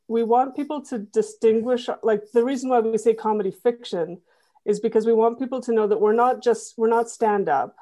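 Mellow-voiced woman, earnest podcast guest. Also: medium pitch. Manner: earnest, rambling with fillers. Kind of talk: casual interview.

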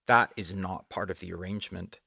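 Man acting as audiobook hologram boss, low-pitched, formal, legalistic, seething, close dry sound. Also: fairly even intonation, fairly quick, vocal fry, pushed and bright, husky, dry recording, exaggerated voice. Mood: seething, controlled.

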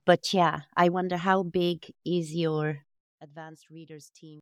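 A woman hosting a podcast interview, asking slow, thoughtful questions about writing children's books. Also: low-pitched, flat delivery, clean tone, dry recording, clean studio sound. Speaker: podcast host, children's author.

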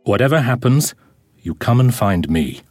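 An actor playing a cold, imperious head scientist who gives curt commands in an audio drama. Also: male voice, mid-range voice, sing-song, normal speed, darkish tone, breathy edge, no echo. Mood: commanding. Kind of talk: full-cast audio drama acting.

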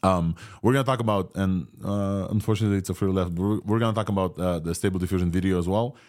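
Podcast host, man, Ukrainian Israeli accent, low pitch, rambling casual delivery full of fillers. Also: fairly even intonation, fast talker, a little mellow. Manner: rambling, many fillers and restarts. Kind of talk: casual tech podcast talk.

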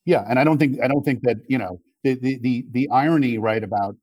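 A middle-aged man in a conversational political discussion, arguing in a halting, reflective way. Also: medium pitch, a little mellow, no echo, clean studio sound. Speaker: interview guest.

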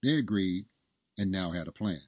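A man's voice: sits low, expressive, normal speed, no echo. A man reading a scripted story as its narrator.

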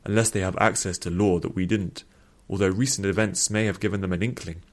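A man voicing a calm, reassuring, knowledgeable male companion in a storybook. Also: low-pitched, a little fast.

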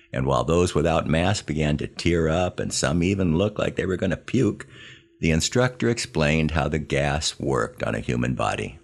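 An American man in his seventies narrating scripted first-person memoir stories in a wry, plain-spoken, measured way. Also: very deep voice, creaky. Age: 70s. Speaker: podcast host telling his army memories.

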